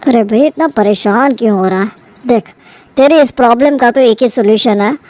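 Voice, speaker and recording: A woman's voice: a little high, moderate pace, a little mellow, close to an everyday voice. Woman voicing a practical young male friend. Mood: reassuring. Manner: matter-of-fact advising. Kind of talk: cartoon story acting.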